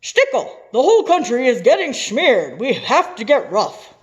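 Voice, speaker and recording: A woman voicing a frantic, shrill president. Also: sing-song, moderate pace. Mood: desperate. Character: frantic male president, bossy and desperate.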